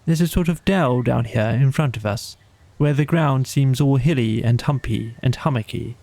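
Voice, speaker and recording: A man voicing a practical, kindly and excitable storybook rat, brisk and decisive with a colloquial way of talking. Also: mid-range voice, gravelly, no echo, natural voice. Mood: determined. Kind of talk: storybook character voice.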